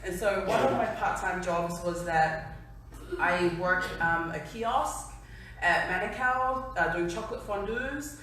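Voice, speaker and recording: A young woman from New Zealand shares her story before a crowd, chatty and quick to laugh at herself. Her voice bounces around a big echoey hall and sounds as if it was picked up from well back. A faint hum of room noise sits underneath. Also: lowish voice, fairly bright tone, distant mic. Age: 20s. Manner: chatty, laughing, self-deprecating. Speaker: speaker at a company event. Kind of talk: personal story speech to an audience.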